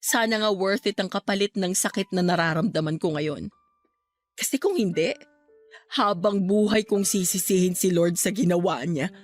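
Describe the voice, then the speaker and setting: A woman's voice: mid-range voice, moderate pace, natural voice. An actress playing a grieving, devout young woman in a radio-style drama, sorrowful, reflective and pleading as she questions her faith. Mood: anguished, bitter. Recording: music bed behind voice.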